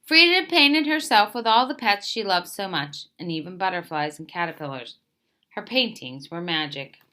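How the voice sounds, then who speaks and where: Bright, expressive woman reading a picture book. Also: on the low side, normal speed. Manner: expressive, emphatic phrase openings that settle at sentence ends. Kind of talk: children's picture book read-aloud.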